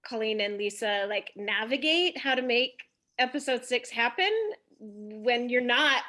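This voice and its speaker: A woman's voice: fairly high pitch, unhurried, bright, forceful tone. A woman speaking casually as a cast member in a group conversation. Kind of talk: casual chat.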